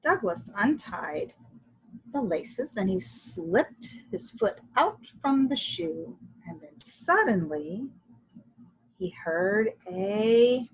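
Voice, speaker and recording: A woman reading a children's story aloud in an expressive, dramatic and deliberate way, with a husky voice. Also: mid-range voice, a little hiss or hum, poor audio. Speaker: storybook reader.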